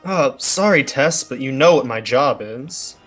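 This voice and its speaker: A man's voice: medium pitch, animated, a little slow, fairly bright tone, soft background music. A man playing an easygoing detective hero in a 1940s-style radio play.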